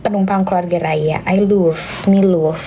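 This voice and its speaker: A woman's voice: lowish voice, steady tone, measured pace, mellow, a little hiss or hum. A young Indonesian woman answering viewer questions in a casual live stream, slipping in English phrases and sometimes dropping to a whisper. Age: young adult. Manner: chatty, mixes English phrases, drops to a whisper at times.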